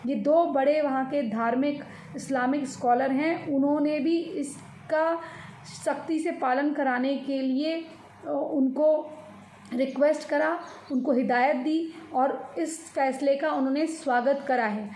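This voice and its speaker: A woman with an Indian accent giving informal news commentary, explaining in a halting, repetitive way and mixing in English words. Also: high voice, steady tone, a little mellow. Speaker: commentator on social and religious news. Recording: echoey room with faint noise.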